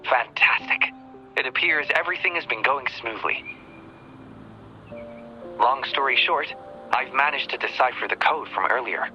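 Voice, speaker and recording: A polite brainy game researcher, really bright sharp voice, mid pitch, faint music behind. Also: male voice, normal speed, pauses often, highs cut off. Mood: polite, composed. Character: courteous, brainy young male academy researcher ally. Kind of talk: video game voice acting.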